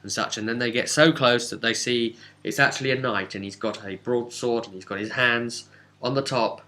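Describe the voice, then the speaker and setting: A man recapping a tabletop game as game master, telling it casually with dramatic, halting pauses. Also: mid-range voice, steady tone, pushed and bright.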